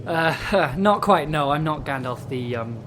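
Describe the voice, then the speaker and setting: A man's voice: fairly high pitch, expressive, unhurried, noisy background. A man playing a wry, mildly exasperated guide to the ghost world in an audio drama. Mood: dry, hesitant. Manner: hesitant, dry, put-upon.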